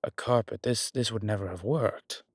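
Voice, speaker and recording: A man playing a strong, scholarly demon in a horror roleplay audio, explaining things in a deliberate, halting, pedantic way. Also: on the low side, no echo, studio quality, natural voice. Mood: pedantic, disapproving. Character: strong, scholarly male demon, exasperated occult lecturer. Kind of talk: immersive one-sided roleplay audio drama.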